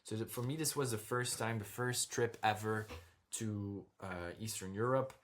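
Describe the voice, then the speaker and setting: A young man from Belgium, talking casually and directly to his viewers over slides, often checking in with "okay?". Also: mid-range voice. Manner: direct, repeats "okay?" and "all right?" to the viewer. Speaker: dating coach vlogger.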